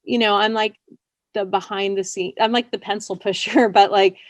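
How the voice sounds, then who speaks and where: A woman's voice: mid-range voice, fairly even intonation, normal speed, no room sound. A woman being interviewed as a writer, chatting casually and informally, with plenty of "like" and "you know". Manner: informal and rambling, often says "like" and "you know". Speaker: interviewed scriptwriter.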